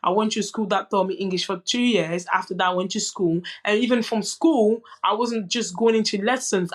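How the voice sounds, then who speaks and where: Earnest young woman, French native speaker. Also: mid-range voice. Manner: earnest, many restarts and "you know" asides. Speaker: guest, boxer. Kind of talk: candid conversational storytelling.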